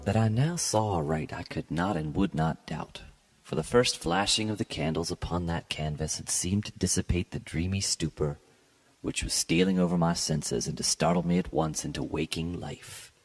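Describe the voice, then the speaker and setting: A man giving a dramatic, scripted reading of classic literature as a podcast host, with a gravelly, husky voice and a measured, formal, theatrical delivery. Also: deep voice. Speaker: podcast host and story reader.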